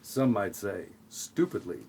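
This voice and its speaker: A man narrating a scripted audio play in a tongue-in-cheek, deadpan way with sarcastic asides. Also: fairly low pitch, unhurried, slightly rough.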